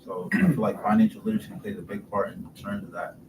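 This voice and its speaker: A young man from Schenectady, New York, on a youth panel, speaking in a relaxed and agreeable way. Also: on the low side, fast, far from the mic, background noise.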